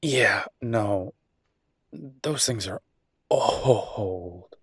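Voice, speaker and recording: A man playing an easygoing, teasing chef boyfriend in an intimate audio roleplay, chatting with affectionate, playful exasperation in a husky voice. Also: fairly low pitch, extremely slow, fairly bright tone, heavily acted. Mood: affectionate, wry. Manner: conversational, playful, exasperated banter.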